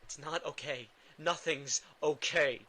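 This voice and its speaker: A man playing a drained, guilt-ridden vampire in an intimate audio drama, speaking haltingly and defensively. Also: fairly high pitch, sharp tone, exaggerated voice. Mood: upset, bitter. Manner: halting, defensive, stammering under pressure.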